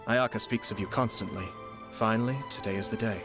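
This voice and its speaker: A man voicing a composed young noble clan head who speaks in a formal, measured, gracious way. Faint music plays beneath. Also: on the low side, steady tone, close to an everyday voice. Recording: game audio with faint music.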